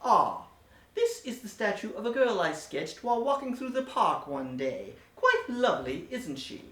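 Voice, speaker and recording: A woman's voice: medium pitch, big pitch swings, moderate pace, a bit bright, recorded from a distance. Woman playing sly villain Professor Muerte. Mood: suave. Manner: suave, theatrical, mock-polite with sudden menace. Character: sly male villain professor who runs a wax museum. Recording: roomy echo, voice set back from the mic.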